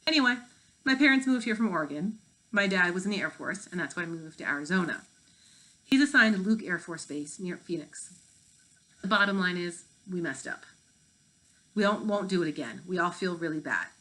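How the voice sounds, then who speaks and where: A woman's voice: fairly low pitch, lively intonation, normal speed, long pauses, bright, forceful tone, low-quality recording. A woman reading the part of a defensive, cocky fifth-grade boy with an offhand manner.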